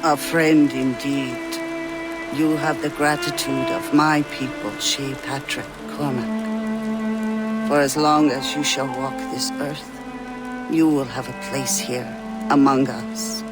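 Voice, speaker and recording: An actor as a solemn Native elder, over music. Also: male voice, mid-range voice, level tone, lightly acted, low-quality recording. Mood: solemn, grateful. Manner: formal, measured, storytelling. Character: solemn, grateful Native elder man, storyteller of his people. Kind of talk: video game dramatic acting. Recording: music and rain behind the voice.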